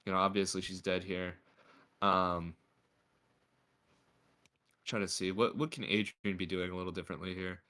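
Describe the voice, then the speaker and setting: A man's voice: low voice, fairly even intonation, normal speed, long pauses, sharp tone. A man coaching a player through a game replay in a casual, chatty way, with a slightly stuffy nose. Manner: chatty, lots of filler words. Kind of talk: casual gameplay review.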